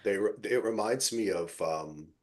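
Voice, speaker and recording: Middle-aged male podcast host: husky tone, conversational and enthusiastic, loose unscripted recap chat. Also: fairly low pitch, measured pace, darkish tone.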